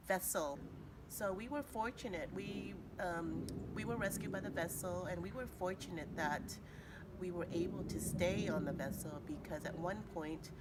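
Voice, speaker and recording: A woman in her fifties, born in Vietnam, recalling her family's flight in a hesitant way, with many pauses and fillers. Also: fairly low pitch, very noisy. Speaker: interviewee. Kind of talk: recounting family history.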